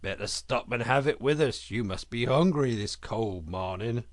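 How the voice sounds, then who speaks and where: A man voicing a kindly older badger in a storybook, quiet and homely, with a simple, fatherly manner. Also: medium pitch, animated, normal speed, dry recording, lightly acted. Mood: hospitable.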